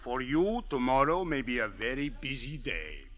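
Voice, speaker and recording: A man in a 1940s radio drama playing a calm, formal police captain. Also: medium pitch, faint music behind. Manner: measured, formal, dry. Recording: old radio broadcast.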